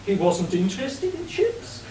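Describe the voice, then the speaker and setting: A man's voice: sits high, huge pitch swings, measured pace, warm tone, slight background noise, full character voice. Earnest inspector played by a man, polite and formal, comic radio drama, roomy distant recording. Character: earnest, urgent male police inspector. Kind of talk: comic radio play acting. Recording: echoey room, distant mic.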